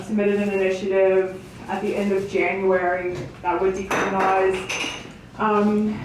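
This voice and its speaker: Echoey, distant woman hosting a gathering. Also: mid-range voice. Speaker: event host, organisation director. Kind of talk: informal welcome speech to a gathering. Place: public meeting in an echoey indoor venue. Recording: very echoey room, distant mic, faint noise.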